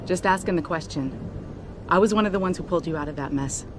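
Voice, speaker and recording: An actress playing a brisk, frank helicopter pilot in a video game, speaking in a familiar way. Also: female voice, lowish voice, close to an everyday voice. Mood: businesslike. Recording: vehicle ambience noise behind voice.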